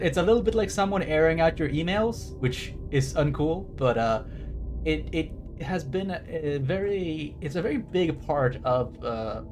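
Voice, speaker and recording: A man streaming a game playthrough, rambling with wry asides and reading on-screen text aloud. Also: medium pitch. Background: game music.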